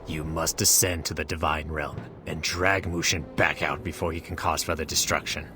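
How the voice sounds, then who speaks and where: An actor playing a solemn, regretful male divine being in a game, speaking in a measured, formal manner. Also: rumbling low, little pitch movement, over music. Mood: grave, earnest. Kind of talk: scripted game dialogue.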